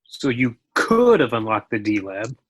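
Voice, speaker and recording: A man reading as a righteous male action hero with a mid-pitched voice, earnest and firm, in an informal horror script table read. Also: big pitch swings, unhurried, fairly bright tone, husky, exaggerated voice.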